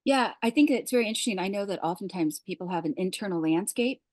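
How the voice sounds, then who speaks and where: A woman's voice: medium pitch, expressive, fast talker, darkish tone, no echo. Friendly lady interviewer, keeps affirming her guest, dry close mic. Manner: gracious, affirming, asks long questions. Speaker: podcast host. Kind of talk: podcast interview.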